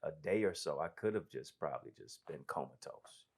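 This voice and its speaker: A man being interviewed as a guest preacher, telling stories in a lively way and laughing often. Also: very deep voice, fairly quick, breathy edge. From United States. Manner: animated, laughs often, says "you know what I'm saying". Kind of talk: casual interview storytelling.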